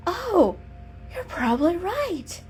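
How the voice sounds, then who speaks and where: A woman's voice: very high voice, very animated, slow pace, faint music behind, voice pushed up, exaggerated voice. A woman voicing a curious, affectionate female pet cat in a storybook, eager and full of wonder. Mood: excited. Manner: wondering, eager questions and soft musing.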